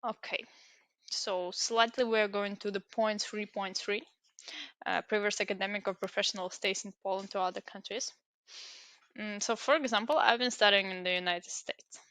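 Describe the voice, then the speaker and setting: A woman's voice: mid-range voice, steady tone, moderate pace, fairly bright tone, no room sound. A young woman, a native Ukrainian speaker, talking through an online application step by step, with frequent hesitations and fillers. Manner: explaining step by step with many fillers. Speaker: tutorial presenter. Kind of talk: screen-recorded application walkthrough.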